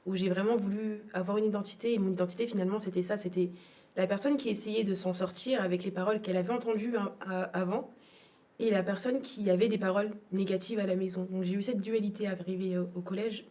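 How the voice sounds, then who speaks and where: A woman's voice: mid-range voice, steady tone, fast talker, dark tone, far from the mic. A young adult woman from Togo, telling her personal story as an interview guest in a reflective, hesitant way. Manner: reflective, repeats words, frequent hesitations.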